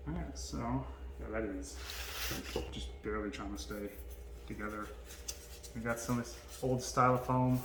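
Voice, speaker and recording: A man talks casually through an unboxing in a halting, off-the-cuff way. His voice sits at an average pitch. There is faint noise with small clicks behind him. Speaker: vlogger doing an unboxing. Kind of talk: casual unscripted product unboxing commentary.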